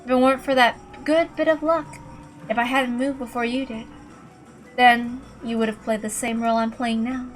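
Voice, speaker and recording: A woman performs a cold, unconcerned woman killer from a visual novel. She speaks in a calm, mocking, smug way with a slightly high voice, over background music. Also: moderate pace, natural voice. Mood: cold, reasoning. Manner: calm, smug, matter-of-fact. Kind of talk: dramatic visual novel character reading.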